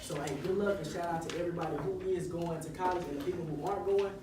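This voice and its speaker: A man in his twenties giving a casual, encouraging motivational talk to high school students and leading them in chants. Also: fairly even intonation, fast talker. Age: 20s. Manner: casual, encouraging, leads call-and-response chants. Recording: echoey hall, voice from far off, audible room noise.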